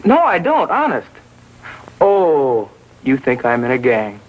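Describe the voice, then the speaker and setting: Surly teenage gang leader. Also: male voice, medium pitch, wildly swooping pitch, slow pace, lo-fi sound, exaggerated voice. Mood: evasive denial. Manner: sullen, dismissive. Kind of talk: 1950s TV drama acting.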